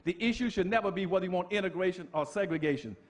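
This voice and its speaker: A man with a notably high voice delivers a fiery public lecture. He talks like a preacher, leaning hard on repetition and rhetorical questions and pressing each point home. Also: very fast.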